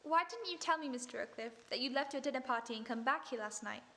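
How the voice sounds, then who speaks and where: A woman playing a sharp-witted female detective in an audio drama, questioning people with dry, ironic politeness. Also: high voice, fast talker. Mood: probing. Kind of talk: vintage-style radio drama acting.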